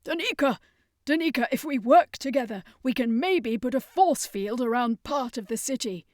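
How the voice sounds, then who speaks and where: A woman voicing an earnest male circus master in an adventure story, a heroic leader speaking urgently and gravely. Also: high-pitched, expressive, normal speed, a little mellow, no echo, clean studio sound, voice pushed up.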